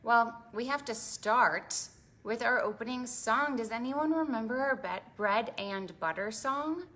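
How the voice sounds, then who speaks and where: A woman hosting an interactive storytime for preschoolers, talking in an animated, exaggerated way to small children and asking them lots of questions. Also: fairly high pitch, a little slow. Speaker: children's librarian and storytime host.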